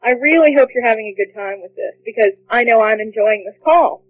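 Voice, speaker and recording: A woman's voice: a little high, fairly quick, no room sound. A woman working as a customer support agent on a call, speaking in a curt, matter-of-fact way. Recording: dry, tinny phone line.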